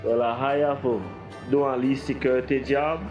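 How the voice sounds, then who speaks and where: A man's voice: mid-range voice, flat delivery, moderate pace, dull audio. A man in his thirties giving a plain, casual testimonial about his work. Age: 30s. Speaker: project worker. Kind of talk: documentary testimonial. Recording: echoey room from a distance, music under.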